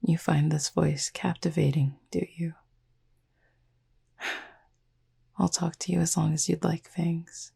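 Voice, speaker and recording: A woman playing a gentle, composed Cupid roommate in a sleep-aid ASMR roleplay, speaking softly and reassuringly in a breathy, creaky near-whisper with formal wording. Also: low voice, steady tone, slow, pauses often, exaggerated voice. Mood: tender, softly teasing.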